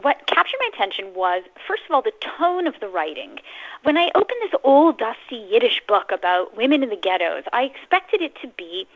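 A woman's voice: on the high side, big pitch swings, fairly quick. A woman from Canada, an author guest on a radio interview, talking eagerly with frequent stammered restarts over a phone line.

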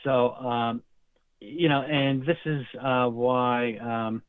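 Brief: male healthcare guest, steady mid-pitched voice, explains medical terms carefully; thin phone-line sound, dry. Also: takes it slowly, smooth, dry recording. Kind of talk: interview explanation.

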